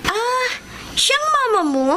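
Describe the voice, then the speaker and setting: Teasing schoolgirl sidekick for radio drama: Filipino, extremely high, bright, curious. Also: sing-song, takes it slowly, faint music behind, exaggerated voice. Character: playful, teasing schoolgirl best friend, curious and frank.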